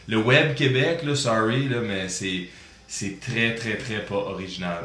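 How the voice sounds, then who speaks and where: Ontario-raised man, podcast co-host, low and bright, halting casual delivery, roomy echo. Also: steady tone. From Ontario, Canada. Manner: hesitant, repeats words while thinking. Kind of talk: casual chat. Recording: echoey room, faint background noise.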